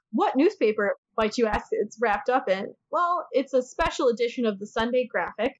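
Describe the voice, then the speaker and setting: A woman podcast host with a somewhat high voice tells a story casually. She rambles, jokes and swears along the way. The sound is a little muffled and lo-fi. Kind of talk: casual conversational true-crime storytelling.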